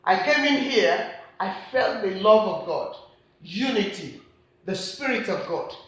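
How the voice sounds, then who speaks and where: A woman's voice: medium pitch, animated, a little slow. A woman with a Nigerian accent preaching a sermon in a church, repeating her key points with earnest emphasis. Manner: earnest, repetitive emphatic phrasing. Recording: very echoey church hall.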